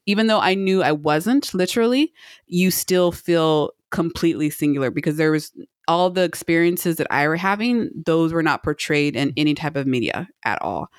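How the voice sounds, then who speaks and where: A middle-aged woman co-hosting a podcast in casual conversation, explaining her thoughts earnestly in a rambling, halting way. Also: sits low, animated, no room sound, studio quality. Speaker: podcast co-host. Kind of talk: casual chat, reviewing a book.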